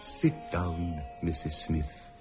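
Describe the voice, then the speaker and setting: An actor playing a courteous, solemn Indian psychic in a radio drama, with an Indian accent and formal, deliberate delivery. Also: male voice, low-pitched, little pitch movement, over loud music. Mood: grave, solemn.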